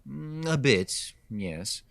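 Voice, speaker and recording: A man's voice: medium pitch, animated, extremely slow, vocal fry, a bit bright, no echo, full character voice. A man playing a condescending, sexist wealthy homeowner with a haughty, insinuating manner in an audio drama. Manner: hesitant fillers, haughty, insinuating.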